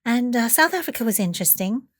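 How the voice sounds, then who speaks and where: A woman's voice: on the high side, animated, fast talker, no room sound, clean studio sound. A woman, telling her story as an interview guest, chatty and full of "you know" fillers. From Taiwan. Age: 40s. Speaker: chef and guest. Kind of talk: conversational storytelling.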